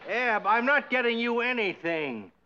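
An earnest, easily flustered farmer husband with a bright, lively, fairly high voice. He is patient but quick to exasperation. The sound is a dull lo-fi 1960s TV recording. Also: a little hiss or hum, exaggerated voice. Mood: exasperated. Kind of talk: 1960s sitcom acting.

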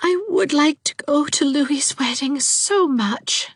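A woman voicing a meek, gentle grown daughter in a storybook, pleading and soothing with resignation. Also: sits high, lively intonation, normal speed, no echo, voice pushed up. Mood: wistful, resigned.

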